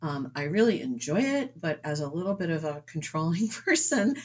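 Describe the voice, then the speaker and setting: A woman's voice: sits low, big pitch swings, normal speed, slight background noise. A woman guest, a painter who trained as a doctor, answering interview questions thoughtfully with frequent ums and uhs. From suburbs of New York City. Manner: hesitant, many ums and uhs. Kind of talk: casual interview chat.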